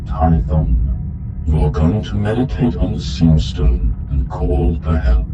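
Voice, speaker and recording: A man playing a menacing dark lord in a masked suit, speaking with cold, slow threat in a sci-fi audio drama. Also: extremely low pitch. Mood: cold menace. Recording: muffled, echoey, distant voice under music.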